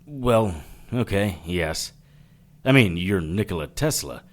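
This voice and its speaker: Casting: tough, sardonic forties detective narrator, lowish voice, hard-boiled radio delivery. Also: male voice, animated, takes it slowly. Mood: sheepish. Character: wry, tough, wary 1940s private detective.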